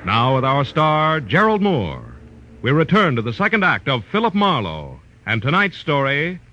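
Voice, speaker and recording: A man's voice: on the high side, wildly swooping pitch, normal speed, pushed and bright, muffled recording. An American man announcing in formal, polished 1940s radio style, reading a scripted promo on an old broadcast recording.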